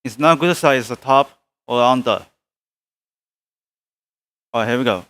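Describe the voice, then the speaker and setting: A man livestreaming a game with casual commentary, muttering in halting, fragmented phrases. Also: medium pitch, a bit bright, no room sound. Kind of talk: casual live gameplay commentary.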